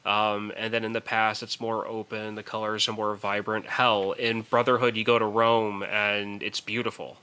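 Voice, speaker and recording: Bright, emphatic man on a podcast. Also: fairly low pitch, flat delivery, clean tone. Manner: emphatic, opinionated, halting with repeated words. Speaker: podcast co-host. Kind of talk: casual film discussion.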